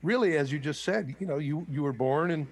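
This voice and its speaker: A man with a fairly high-pitched voice hosts a relaxed interview. He asks friendly questions that prompt his guest to keep talking. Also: a little fast. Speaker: interview host. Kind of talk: conversational interview.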